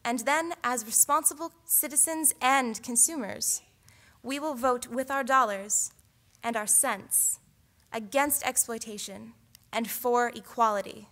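Bright-voiced young woman giving a persuasive speech. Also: a little high, a little slow. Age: young adult. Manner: earnest, persuasive, rhetorical questions. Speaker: student orator. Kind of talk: competition speech. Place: large theatre, at a lectern. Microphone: lectern microphone.

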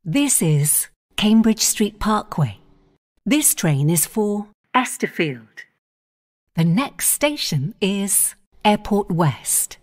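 Slow, lilting female train announcer. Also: medium pitch, sing-song. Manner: measured, clipped station-by-station phrasing.

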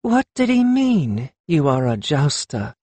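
Man voicing a high-pitched, flustered older mother. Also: very animated, measured pace, breathy edge, dry recording, full character voice. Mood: indignant, suspicious. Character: flustered, doting older mother, a warm-hearted working woman.

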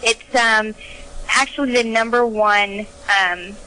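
A woman's voice: fairly high pitch, takes it slowly, sharp tone. A woman answering interview questions by phone as a company representative, explaining things in a plain, matter-of-fact way. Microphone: telephone line. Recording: noisy phone interview recording.

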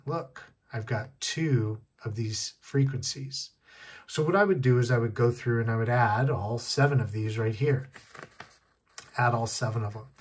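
Male tech tutorial host, a bit husky, casual step-by-step delivery. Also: medium pitch. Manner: step-by-step explaining, repeating key points. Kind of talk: casual hands-on demonstration. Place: indoors at a wooden desk.

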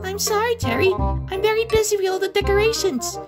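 A man's voice: very high-pitched, level tone, a little fast. A man voicing a small, earnest, eager young bird for a cartoon comic dub. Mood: apologetic. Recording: loud music bed over voice. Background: loud music.

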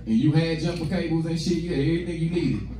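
A man's voice: fairly high pitch, fast. A young American man performing stand-up comedy in a slangy, profane, conversational way, acting out bits of dialogue. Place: small comedy club stage, indoors. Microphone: handheld stage mic, recorded from a distant camera. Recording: echoey club room, distant mic, loud crowd noise. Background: audience laughter and room noise.

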